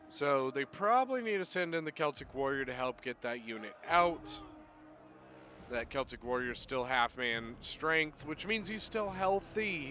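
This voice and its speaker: A man casting a strategy game battle play-by-play in a chatty, excitable way, his voice a little off as he gets over being sick. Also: on the high side. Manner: chatty, excitable, bursts of loud exclamation. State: voice a little off from recent illness. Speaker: game commentator.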